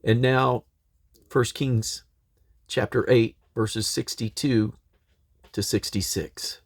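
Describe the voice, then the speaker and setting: A man reading scripture aloud in a solemn, declamatory way, with measured phrases and deliberate pauses. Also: medium pitch, steady tone, no room sound. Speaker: Bible reader.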